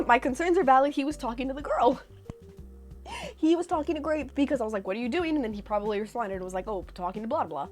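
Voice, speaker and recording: A young woman from California telling stories in a chatty, animated way with sarcastic asides, reacting to her old posts. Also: high voice. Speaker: vlogger. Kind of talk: casual reaction vlog storytelling.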